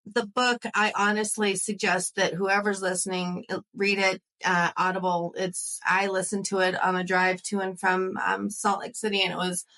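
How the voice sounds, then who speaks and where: A woman's voice: mid-range voice, flat delivery, moderate pace, hardly any pauses, pushed and bright, rough recording. Chatty, upbeat woman podcast host. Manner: enthusiastic, chatty, uses "like" a lot. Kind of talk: casual interview chat.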